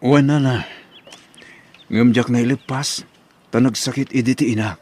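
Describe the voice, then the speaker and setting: Man as husky, devoted young sweetheart. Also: medium pitch, steady tone, normal speed, darkish tone, natural voice. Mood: earnest, respectful. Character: earnest, devoted young male college student sweetheart. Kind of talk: radio drama acting.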